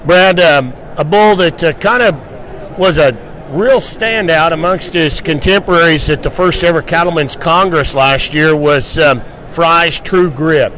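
Middle-aged man, slightly high voice, casual livestock pitch, host, handheld mic. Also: a little slow, fairly bright tone, a little hiss or hum. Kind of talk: casual promotional livestock talk. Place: indoor convention hall booth. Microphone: handheld microphone.

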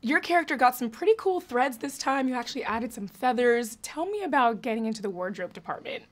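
A woman's voice: a little high, expressive, a little fast. A woman in her thirties with an American accent, interviewing in a casual, enthusiastic, chatty way. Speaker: entertainment interviewer. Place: indoor junket interview set, seated.

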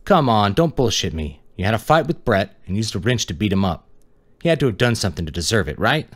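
A man's voice: fairly low pitch, very animated, quick pace, pitched above the performer's own voice. A man voicing a tired young male detective who pushes hard during questioning. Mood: pushy. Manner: pushy, needling.

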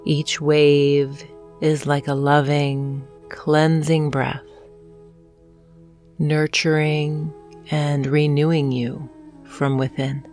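A woman narrating a guided hypnosis meditation in a soothing, gentle manner, leaving long pauses between phrases. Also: low-pitched, little pitch movement. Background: soft meditation music with singing bowls.

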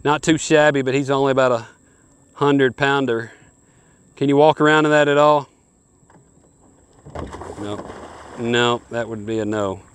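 A man's voice: mid-range voice, a little slow, long pauses, clear, resonant tone. A man in his forties hosting a hunting show, giving an upbeat, folksy walkthrough of kayaks outdoors by a lake. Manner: upbeat, folksy salesman-like patter. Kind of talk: product review walkthrough. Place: outdoors on a lakeshore.

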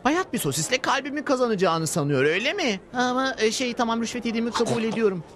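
A man's voice: very high voice, lively intonation, quick pace, noisy background. A man voicing a dim, self-righteous, easily bribed cartoon dog in a melodramatic, pompous monologue. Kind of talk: Turkish cartoon dub. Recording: music behind the voice.

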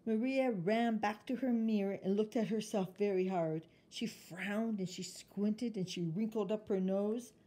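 A woman reading a picture book aloud as an expressive storyteller for children. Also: medium pitch, a little slow, few pauses.

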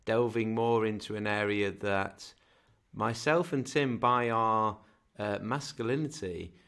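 A man's voice: fairly low pitch, unhurried, a bit bright, clean tone. Scouse guy in his 30s cohosting a podcast from home, jokey, rambly, lots of ums, desk mic on a video call. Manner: joking, rambling with many fillers. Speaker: podcast co-host. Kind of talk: casual chat. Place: home room, indoors. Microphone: desk condenser mic on video call.

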